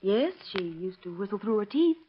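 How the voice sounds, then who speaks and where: A woman's voice: mid-range voice, big pitch swings, moderate pace, close to an everyday voice. An actress playing a coy, agreeable young woman with a light, coquettish manner, in 1930s radio drama style. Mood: coy, obliging. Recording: hissy, dull old radio recording.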